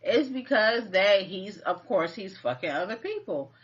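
A woman's voice: medium pitch, lively intonation, a little slow. A woman in her thirties co-hosting a casual live chat from a home kitchen, talking frankly and plainly. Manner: frank, matter-of-fact, uses "you know". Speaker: co-host. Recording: muffled lo-fi webcam audio.

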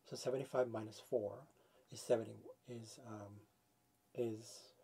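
A man in his forties talks to the camera from a small room with foam-paneled walls. His mellow voice has a husky edge, and he thinks out loud, stopping and restarting as he works through figures. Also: lowish voice, steady tone, measured pace. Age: 40s. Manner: thinks aloud, working out sums with many restarts. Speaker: astrology video host. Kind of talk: casual explanatory talk to camera.

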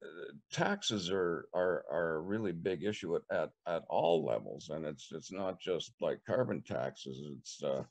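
An older man with a Canadian accent, answering interview questions on a video call from a living room, measured and repeating words as he thinks. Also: low-pitched, big pitch swings, creaky, a little mellow. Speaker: political candidate. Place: living room with leather couch. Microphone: webcam on video call.

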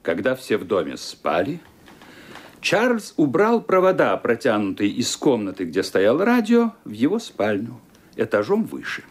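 A man's voice: medium pitch, wildly swooping pitch, measured pace, breathy edge. A man reading the narration of a radio play with theatrical expression.

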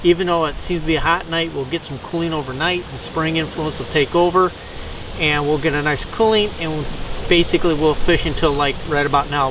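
An older man, a fishing guide, gives a casual, rambling update to a camera outdoors beside a stream, with lots of fillers. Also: fairly high pitch. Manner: relaxed, rambling, with frequent fillers. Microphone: camera on tripod a few feet away. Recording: distant mic, outdoor noise.

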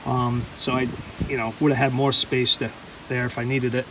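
A man talks through his homemade gear in a relaxed, chatty way, often pausing with an um or restarting a thought. His voice sits at a middling pitch for a man. He is recorded outdoors on a handheld camera, with faint noise in the background. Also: poor audio. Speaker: outdoors vlogger. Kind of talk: casual show-and-tell walkthrough. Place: outdoors beside a canoe.

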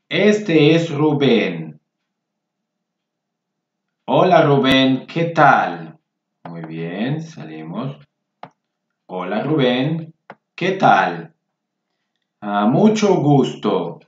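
A man's voice: mid-range voice, big pitch swings, drawn-out words, pauses often. A man teaching Spanish, reading workbook exercises aloud and patiently repeating phrases for learners. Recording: roomy, dull lo-fi sound.

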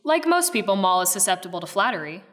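A woman playing an arch, knowing narrator, reading scripted radio-play narration in a literary, deliberate manner. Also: mid-range voice, sing-song, fairly bright tone. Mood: wry.

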